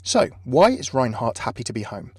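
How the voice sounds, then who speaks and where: A man's voice: mid-range voice, very animated, normal speed, breathy edge, no room sound. A man hosting a video with scripted narration, recorded as a close voice-over. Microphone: close dry voice-over microphone.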